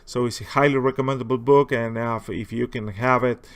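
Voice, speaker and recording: A man with a heavy Spanish accent, a native Spanish speaker, giving casual, unscripted commentary as a video host in a hesitant, rambling, halting way. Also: medium pitch, fairly even intonation, a crowd in the background. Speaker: video host, war-gaming enthusiast.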